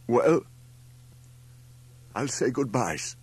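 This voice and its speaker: A man voicing a huge, warm-hearted, emotional man speaking broken English. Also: medium pitch, big pitch swings, very slow, breathy edge, pitched above the performer's own voice, heavily acted. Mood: wavering.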